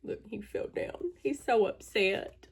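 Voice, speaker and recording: A woman's voice: medium pitch, slow pace, husky. A woman reading a storybook aloud to children, adding chatty asides and questions for the listeners.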